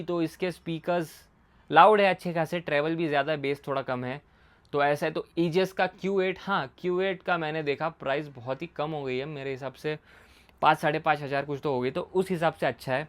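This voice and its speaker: A man's voice: a little high, clean tone. A man giving a casual product explainer in a conversational way, mixing in English words and repeating his points. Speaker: motorcycle gear reviewer and video host.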